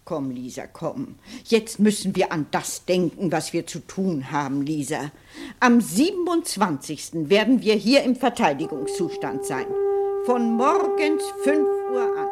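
A strong-willed woman in a radio drama. She is brisk and commanding, scornful of sentiment. Her delivery is that of someone used to deciding for others. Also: mid-range voice, sing-song, music in the background. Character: resolute, domineering woman defending her home, scornful of sentiment. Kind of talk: German radio play acting.

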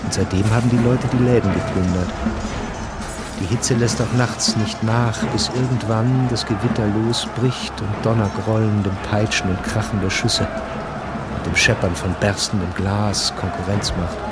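A man's voice: on the low side, fairly even intonation, moderate pace, natural voice. A man reading scripted narration for a radio drama. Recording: narration mixed with loud music and effects.

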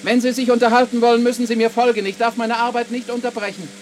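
An actor playing a dutiful, literal gardening robot with stiffly formal, matter-of-fact speech and an altered robotic voice in a German radio play. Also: male voice, very high voice, flat delivery, fast. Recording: loud noise and hum over voice.

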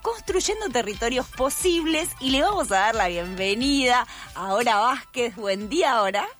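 A woman hosting a live radio show, relaxed and chatty, laughing easily and tripping over words now and then. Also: a little high, moderate pace, soft background music. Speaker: radio host. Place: radio studio.

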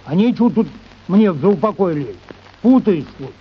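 Grumpy village church scribe, somewhat high voice, halting, easily muddled, radio drama, slightly dull sound. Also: male voice, animated, breathy edge. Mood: exasperated. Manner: grumbling, halting, folksy. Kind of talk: radio play acting of a classic short story.

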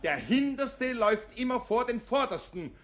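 An actor playing a blustering, tipsy village schoolmaster drilling peasants in a 1950s radio play. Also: male voice, very high voice, big pitch swings, moderate pace. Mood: mocking.

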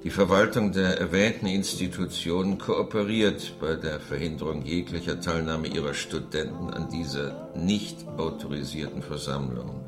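A man's voice: a bass voice, fairly even intonation, normal speed, few pauses, music in the background. An actor in a German radio drama playing a stern, contemptuous Soviet secret police chairman with a pompous manner. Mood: official, clipped.

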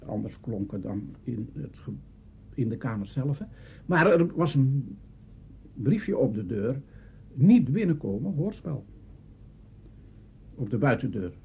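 An older man recalls the past as an interviewee, telling it deliberately and with emphasis. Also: slightly rough. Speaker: interviewee, radio pioneer. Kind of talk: spoken reminiscence. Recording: muffled archive tape with noise and rumble.

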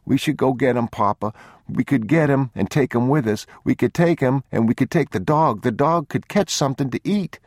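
A man's voice: medium pitch, moderate pace, darkish tone, no room sound, clean studio sound, voice pushed up, close to an everyday voice. A man voicing a frightened young boy, tearful, stubborn and tender, in an audiobook story. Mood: anxious, earnest. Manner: pleading, plaintive, childlike questions.

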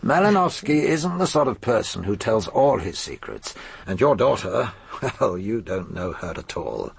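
An actor playing a shrewd, bulky chief inspector in a British radio drama, with an English accent and a gruff, knowing manner. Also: male voice, fairly low pitch, big pitch swings, a little slow, heavily acted. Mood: knowing, accusing. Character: shrewd, bulky male police chief inspector, blunt and assured.

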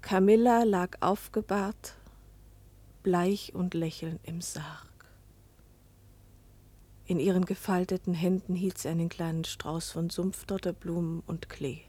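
An actress playing a troubled, melancholy wife and mother in a German radio play, speaking slowly and gravely with breath in her voice. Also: fairly low pitch, steady tone, warm tone, no room sound. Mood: grieving, solemn. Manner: slow, grave, breathy dropping to whispers.

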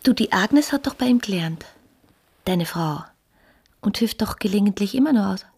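A woman's voice: medium pitch, expressive, normal speed, gravelly, darkish tone, breathy edge. An actress in a radio play voicing an earnest, probing woman in love with a married man, speaking intimately and insistently. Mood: probing, needling. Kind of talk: German-language radio play acting.

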